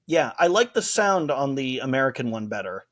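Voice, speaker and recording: A man's voice: mid-range voice, very animated, normal speed, fairly bright tone. A man co-hosting a casual podcast, chatting with nostalgic enthusiasm and restarting his sentences often. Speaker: podcast co-host. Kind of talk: casual chat.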